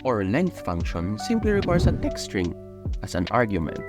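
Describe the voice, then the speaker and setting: A man's voice: on the low side, normal speed, loud music behind the voice. Filipino young man explaining spreadsheet steps. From Philippines. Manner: steady explanatory step-by-step delivery. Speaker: tutorial host. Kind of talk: screen-recorded software tutorial.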